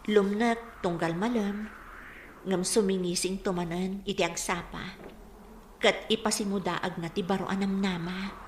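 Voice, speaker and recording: A woman's voice: medium pitch, steady tone, unhurried, natural voice. An actress playing a wistful, troubled village woman who longs for peace, in an earnest, reflective radio drama style. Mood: wistful hope. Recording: echoey room with faint noise.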